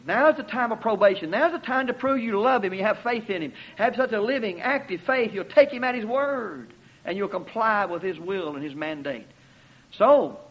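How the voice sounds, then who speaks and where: A man's voice: sits high, a bit bright. A man preaching a sermon with emphatic repetition and rhetorical questions. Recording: dull lo-fi recording.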